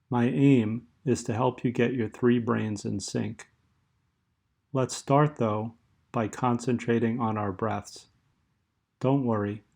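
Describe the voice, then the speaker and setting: A man with a medium-pitched voice leads a guided meditation. His delivery is very slow and measured, with long pauses between short phrases. The tone is dark, relaxed and soothing throughout. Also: gravelly.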